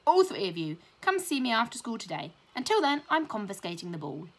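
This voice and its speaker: A woman voicing a stern, angry male schoolmaster who scolds pupils in a clipped shout, in a storybook reading. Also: sits high, huge pitch swings, brisk, voice pushed up, full character voice.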